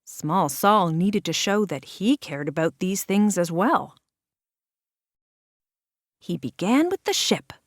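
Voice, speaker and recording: A woman reading a children's picture book aloud in an expressive, measured narration with deliberate dramatic pauses. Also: lowish voice, dry recording, studio quality. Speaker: children's storybook reader.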